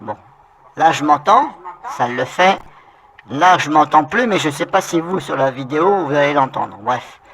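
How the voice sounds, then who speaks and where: A man speaks through a voice changer that lifts his voice high. He gives a loose, rambling software demonstration, thinking aloud as he goes. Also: expressive, normal speed. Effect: pitch-raising fairy voice changer. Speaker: software tutorial host. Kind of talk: informal screen-recorded software tutorial.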